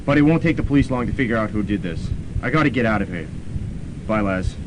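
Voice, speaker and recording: Guy doing a quiet brooding killer student, low and grim, hissy tape. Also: expressive, fast talker, natural voice. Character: Chris Nitro, young male student killer, brooding.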